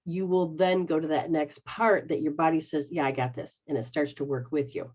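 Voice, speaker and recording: A woman's voice: low-pitched, fairly even intonation, moderate pace, a little mellow, slightly rough. Chatty middle-aged woman on a dull line. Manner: rambling, explains step by step, checks understanding with "okay?". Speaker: metaphysical practitioner host. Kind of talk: casual instructional chat.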